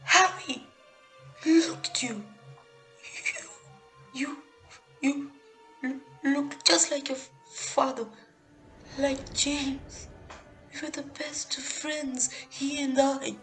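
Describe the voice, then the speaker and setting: Woman acting a cringing little male traitor, high-pitched, stammering, pleading, audio drama, music underneath. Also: steady tone, fairly bright tone, heavily acted. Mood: fawning, nervous. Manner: stammering, halting. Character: small, cowardly, pleading, fawning traitor man.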